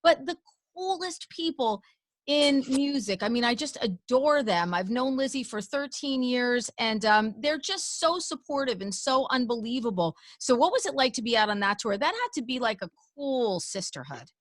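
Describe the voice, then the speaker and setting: A woman's voice: fairly high pitch, lively intonation, moderate pace, dry recording. A woman radio host, enthusiastically asking effusive interview questions.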